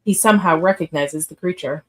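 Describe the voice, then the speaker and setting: A woman's voice: fairly low pitch, fairly quick. A woman reading stage directions as narrator for a live radio play script read.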